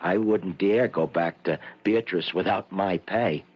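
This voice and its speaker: A man's voice: low voice, a little slow. An actor playing a nervous, henpecked office clerk who stammers and wryly narrates his own troubles in an old-time radio comedy. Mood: mild, hesitant. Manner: hesitant, stammering, wry. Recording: dull old radio recording with music.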